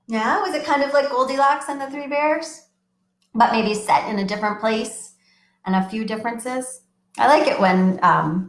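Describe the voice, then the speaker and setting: Lively woman reading aloud to small children. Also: on the high side, echoey room. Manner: animated, sing-song, coaxing children to join in. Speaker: children's librarian. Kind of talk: storytime read-aloud for young children.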